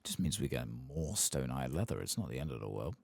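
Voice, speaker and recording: A soft-spoken man muttering casual ASMR commentary as he plays a game, with a husky, gravelly voice. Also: a bass voice, big pitch swings, a little fast, no echo. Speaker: gameplay streamer.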